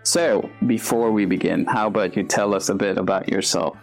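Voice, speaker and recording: Very deep-voiced woman host. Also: moderate pace, a little mellow, soft background music. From India. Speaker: podcast host. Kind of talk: casual interview chat.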